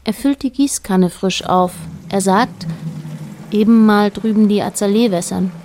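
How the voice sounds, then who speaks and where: A woman narrating a radio play as a detached observer, in a measured, matter-of-fact way. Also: medium pitch, a little mellow, slight background noise, natural voice. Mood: detached, observant. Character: detached female narrator observing a married couple.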